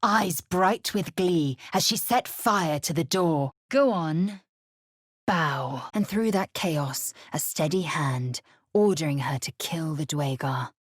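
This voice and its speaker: An actress playing a fierce, imperious alien warrior woman in a video game, husky, clipped, commanding and disdainful. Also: sits low, slow, no echo, clean studio sound, exaggerated voice. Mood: curt, commanding. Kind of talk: video game character voice lines.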